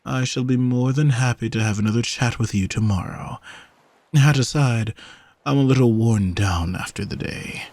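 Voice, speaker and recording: A man playing an alluring sea monster posing as a vain, flirty gentleman in an audio roleplay, speaking softly and formally in a haughty, teasing way. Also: medium pitch, normal speed, no room sound, lightly acted. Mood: evasive, polite. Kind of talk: scripted audio roleplay, spoken to the listener.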